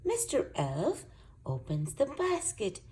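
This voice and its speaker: A woman hosting and narrating a children's storybook read-aloud in an expressive, deliberate way. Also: a little high, slightly rough. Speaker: children's book reader and channel host.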